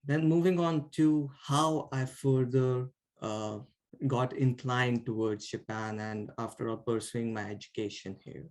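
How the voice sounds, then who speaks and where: Young man, from India, student speaker, casual presentation, lots of "like", middle pitch. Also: fairly even intonation, a little slow. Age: young adult. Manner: filler-heavy, frequent "like" and restarts. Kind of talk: informal presentation.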